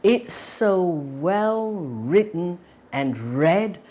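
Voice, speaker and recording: A woman's voice: on the low side, animated, very slow, warm tone. A woman giving a reflective spoken testimonial.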